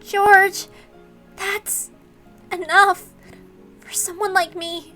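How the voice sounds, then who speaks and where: A gentle, self-effacing young woman from a story reading, as a female reader plays her. The voice is very high, soft-hearted and pleading, with faint music underneath. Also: little pitch movement, drawn-out words, exaggerated voice. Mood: pleading, sorrowful.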